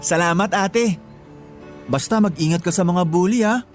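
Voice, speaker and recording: A man's voice: sits high, moderate pace, over music, natural voice. A Filipino man playing a caring, playful younger brother in a radio drama, affectionate and teasing.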